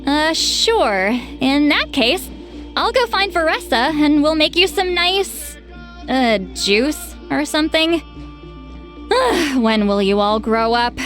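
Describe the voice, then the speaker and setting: A woman's voice: sits high, big pitch swings, slow, a bit bright, soft background music, full character voice. A woman voicing a worldly, wry granny figure in a video game, conversational and faintly exasperated.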